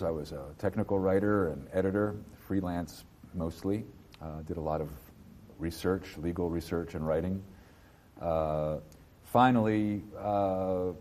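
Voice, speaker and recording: A man in his fifties from New Jersey, a bookseller being interviewed, speaking in a halting way with many fillers and restarts. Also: sits low, dark tone. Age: 50s. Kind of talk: casual interview.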